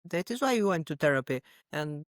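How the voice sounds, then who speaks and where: A woman with a Romanian accent, a psychotherapist and native Romanian speaker, talking as a guest in a podcast interview with halting, pause-filled speech. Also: sits low, lively intonation, no echo, clean studio sound.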